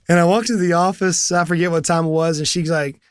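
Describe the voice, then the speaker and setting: Energetic high-voiced man in his forties. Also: fairly quick, smooth, no room sound. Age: 40s. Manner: energetic storyteller, acts out quoted conversations. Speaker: business coach and channel host. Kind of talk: animated solo talk to camera.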